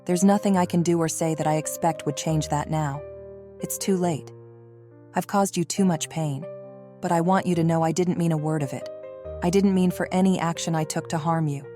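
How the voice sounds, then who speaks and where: A solemn mother with a secret agent's past, played by a woman, confesses in a low, measured voice. She is remorseful and loving, and music plays clearly beneath her audio drama monologue. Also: fairly even intonation, close to an everyday voice. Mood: tender remorse.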